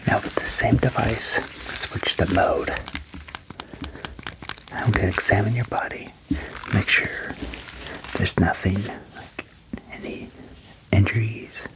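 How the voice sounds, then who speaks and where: Young man whispering softly, very low. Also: low-quality recording. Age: young adult. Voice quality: whispered, breathy. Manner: gentle personal attention, short reassuring phrases. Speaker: ASMR performer playing an alien doctor. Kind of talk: ASMR medical roleplay. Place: small wood-panelled room indoors. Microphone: close microphone with furry windscreen.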